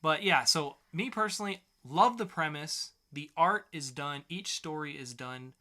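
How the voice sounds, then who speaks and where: A man's voice: a little high, measured pace, sharp tone. A man hosting a podcast segment in a casual, conversational style, sometimes swearing. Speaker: podcast host.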